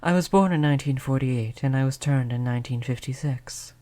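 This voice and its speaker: A performer voicing a gentle, polite vampire in an intimate roleplay audio, speaking in a soothing, conversational way at a medium pitch. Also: a little fast, close to an everyday voice. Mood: friendly, reminiscing. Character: gentle, reassuring vampire, eighty years old, eternally polite. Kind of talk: roleplay audio drama, intimate one-on-one talk to listener.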